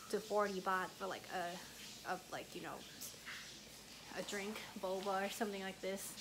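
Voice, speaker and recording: A young woman in her twenties, raised in the US, rambling casually to her phone camera in a hotel room, filling every pause with "like". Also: medium pitch, noisy background. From Thai, raised in the US. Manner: rambling, heavy use of "like", soft and chatty. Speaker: travel vlogger. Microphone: phone camera at arm's length.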